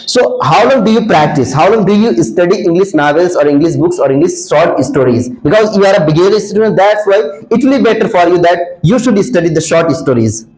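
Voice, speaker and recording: A man's voice: high-pitched, animated, brisk, hardly any pauses, a little mellow, rough recording. A young man from India with an Indian accent, a senior student earnestly answering questions about learning English, full of fillers and restarts. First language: Hindi. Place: classroom.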